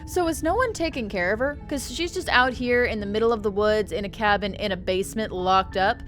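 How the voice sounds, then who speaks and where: A woman's voice: mid-range voice, fairly quick, a bit bright, faint music behind. A young adult woman streaming a game with casual commentary, rambling and joking with stammering restarts.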